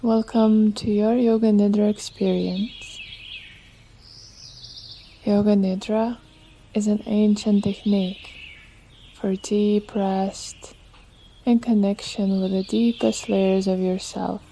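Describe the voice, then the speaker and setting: A woman leading a guided relaxation meditation as a yoga nidra teacher, with soothing, unhurried, gentle instruction. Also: medium pitch, flat delivery, slight background noise. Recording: nature ambience with birdsong behind. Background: birdsong and nature sounds.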